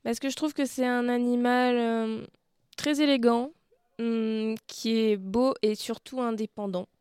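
A young woman in her late twenties answers questions on a children's radio show, playful and hesitant, often pausing to think. Her voice is smooth and clear, a little higher than most. It is recorded dry and close, with no room sound. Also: steady tone, a little mellow, no echo. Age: 20s. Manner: hesitant, playful, lots of hmms. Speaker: interviewee, youth center activity leader. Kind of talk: casual radio interview. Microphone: close studio mic.

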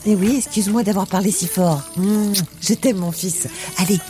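A woman's voice: medium pitch, normal speed, warm tone, faint music behind, close to an everyday voice. An actress playing a mother hurrying her young son in a radio ad. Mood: gentle. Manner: exasperated then tender.

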